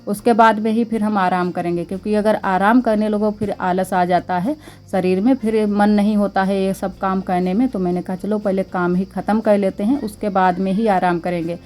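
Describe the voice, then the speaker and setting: A young woman with a sore, slightly hoarse throat, chatting casually as she narrates her daily vlog about household chores at her village home. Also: mid-range voice, steady tone, moderate pace, a little mellow. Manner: chatty, homely, thanks viewers. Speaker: vlogger. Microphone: clip-on mic.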